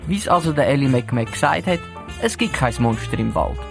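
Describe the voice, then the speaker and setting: A man's voice: mid-range voice, lively intonation, moderate pace, gravelly. A man with a Swiss German accent narrating a suspenseful detective audio play in a dramatic storytelling manner. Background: music.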